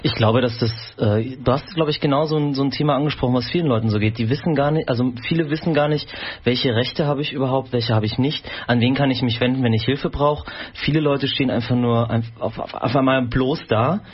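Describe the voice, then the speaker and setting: Husky male radio host, lo-fi. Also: mid-range voice, a little fast. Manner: thoughtful, hesitant with fillers. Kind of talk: radio magazine moderation. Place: radio studio. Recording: dull lo-fi radio sound.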